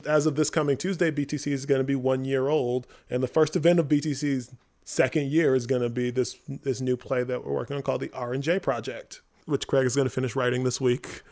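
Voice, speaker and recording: A man hosting a podcast, a playwright telling stories casually in a halting, informal way. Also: mid-range voice, steady tone, a little mellow. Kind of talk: casual storytelling chat.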